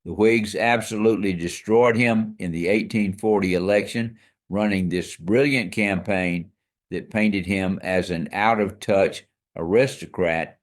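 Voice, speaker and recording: A man's voice: on the low side, steady tone, measured pace. A man co-hosting a scripted history podcast, explaining facts in an emphatic, storytelling way. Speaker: co-host.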